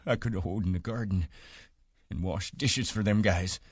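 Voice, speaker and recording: Old ranch hand, bitter and trembling, read by a male narrator, pitched up, close dry audiobook read. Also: moderate pace, husky, dry recording. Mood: grieving. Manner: bitter, shaky, sing-song.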